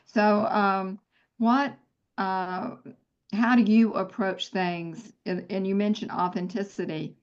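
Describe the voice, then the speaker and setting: A woman's voice: mid-range voice, steady tone, slow pace. A woman hosting an interview, asking supportive, rambling questions with fillers. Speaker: interview host. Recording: dull lo-fi sound.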